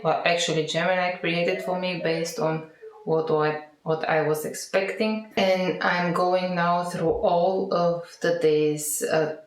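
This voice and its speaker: A middle-aged woman from Bulgaria with a Bulgarian accent, speaking non-native English in an unscripted monologue to camera, halting and correcting herself as she searches for words. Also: fairly low pitch. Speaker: vlogger practising English speaking. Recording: echoey, roomy sound.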